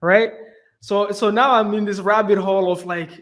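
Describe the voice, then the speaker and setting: A young man from Belgium, a guest in a casual interview, telling his story in an animated way with lots of "you know" and "right". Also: sits high, normal speed.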